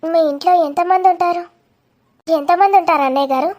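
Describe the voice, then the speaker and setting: A stern village mother from a cartoon drama, acted by a woman. Her voice is strikingly high-pitched. She talks in a wary, no-nonsense way. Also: fairly quick, a little mellow. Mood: probing.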